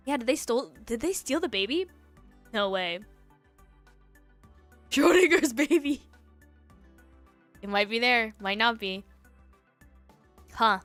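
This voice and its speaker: A woman hosting a livestream, chatting casually and reacting to online stories in a giggly, animated way. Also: on the high side, slow, pauses often, no room sound, faint music behind. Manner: giggly, animated, breaking into laughter. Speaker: livestream host.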